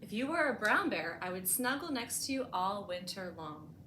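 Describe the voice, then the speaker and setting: An echoey room with clear background noise surrounds a woman hosting storytime. She has a medium-pitched voice and reads expressively for young listeners, leaning on key words. She moves between reading and simple explanations. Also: very animated, normal speed, bright, forceful tone. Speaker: librarian hosting a bedtime storytime. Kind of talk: picture-book read-aloud with explanatory asides for children.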